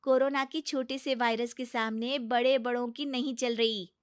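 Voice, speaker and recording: A woman's voice: on the high side, fairly even intonation, moderate pace, clean tone, no echo, studio quality, close to an everyday voice. A woman voicing a young, nagging, sarcastic daughter-in-law in a comic cartoon story, with pleading, exasperated and teasing retorts.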